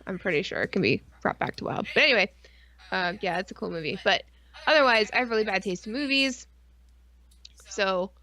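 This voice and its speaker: A woman co-hosting a stream in casual, rambling fan chat, excitable and full of slang and swearing. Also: mid-range voice. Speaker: podcast and stream co-host.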